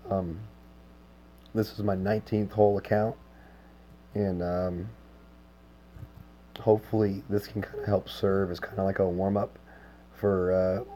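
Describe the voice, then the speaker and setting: A hoarse man chats easily with viewers on his livestream, his voice sitting low and dark. He talks in a relaxed, unhurried way, scattering filler words between his thoughts. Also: a little hiss or hum. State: hoarse, lost voice. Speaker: game streamer. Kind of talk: casual livestream chat with viewers.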